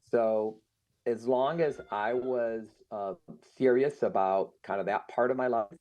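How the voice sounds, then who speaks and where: A man's voice: mid-range voice, fairly even intonation, a little slow. A middle-aged man raised in the United States, talking casually and thoughtfully with frequent fillers. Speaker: guest. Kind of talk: casual conversation. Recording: muffled lo-fi sound.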